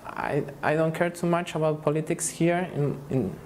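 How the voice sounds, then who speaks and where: Man in his twenties, from Argentina, halting, hesitant answers, light background hiss. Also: fairly high pitch, steady tone, darkish tone. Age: 20s. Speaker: survey respondent. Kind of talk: casual interview answers. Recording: faint background noise.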